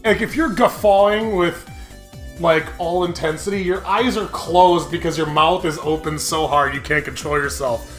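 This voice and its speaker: A man's voice: a little high, normal speed. A man giving casual, rambling, argumentative commentary as host of a video game playthrough. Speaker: host of a visual novel let's play. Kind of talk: casual jokey game commentary, reading dialogue aloud. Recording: background music.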